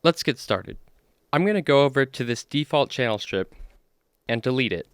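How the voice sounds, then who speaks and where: A man presents an instructional software walkthrough in a clean, crisp voice. His pitch sits in the middle range and the sound is close and dry, with no room ring. He explains things plainly, one step at a time. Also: lively intonation, moderate pace, no room sound. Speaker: tutorial host.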